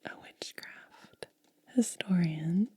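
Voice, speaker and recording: Whispering woman, very slow ASMR. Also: on the low side, slight background noise. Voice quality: breathy, whispered. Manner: slow, hushed, lingering on short phrases. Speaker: ASMR video host. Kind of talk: soft-spoken ASMR.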